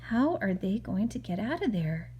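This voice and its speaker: A woman's voice: medium pitch, very animated, normal speed, mellow, muffled recording, slight background noise. A woman reading a picture book aloud to a child as a mother, expressively, with chatty asides and questions.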